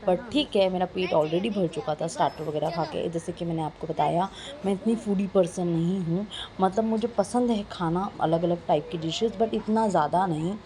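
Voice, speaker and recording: A young adult woman with a sore, slightly hoarse throat, chattily narrating a casual family vlog voice-over and mixing in English words. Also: on the low side. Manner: conversational, mixes in English words. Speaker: vlogger narrating a family outing.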